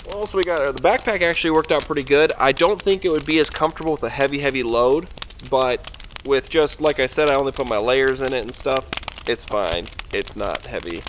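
Young male outdoor vlogger, relaxed rambling delivery, recorded by a camera a few meters off. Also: mid-range voice, a little fast, a little hiss or hum. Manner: chatty and easygoing, rambling with fillers. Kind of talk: casual rambling talk to camera. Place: snowy forest campsite at night, outdoors by a fire. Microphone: camera on a tripod a few meters away.

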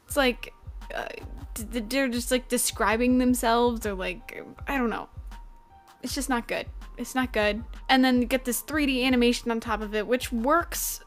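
Young woman ranting about an anime in her bedroom, kinda high voice, sarcastic and sweary, faint music behind. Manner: sarcastic, swears freely, laughs often. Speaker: anime reviewer. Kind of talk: casual spoken review. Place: bedroom at home. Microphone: desk microphone in front, webcam.

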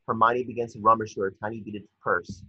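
A man's voice: lowish voice, level tone, a little fast, bright, forceful tone, husky, dry recording. A young man narrating scripted stage directions from a screenplay over a video call. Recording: dull, muffled video call audio.

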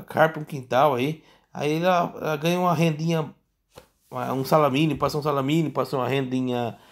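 Gravelly Brazilian man, rambling commentary. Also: a little high. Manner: rambling, repetitive, halting, with frequent tag questions. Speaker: political commentary vlogger. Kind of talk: casual off-the-cuff commentary on news.

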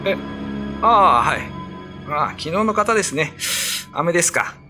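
A man's voice: a little high, animated, unhurried, music in the background, voice pushed up. A man playing a suspicious, easily startled candy shopkeeper in a radio drama. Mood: startled, polite.

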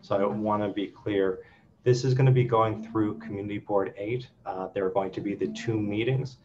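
A man runs a town hall over a video call. He reads audience questions and answers them briskly. The sound is dull and lo-fi, with a faint hum behind him. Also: lowish voice, steady tone, darkish tone. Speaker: council member hosting a town hall. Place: office with city window, indoors.

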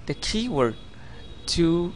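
A man in his thirties with a Vietnamese accent, a native Vietnamese speaker, narrating his own video CV over slides in a halting way, often correcting himself. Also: a little high, big pitch swings, a little hiss or hum. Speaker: job applicant.

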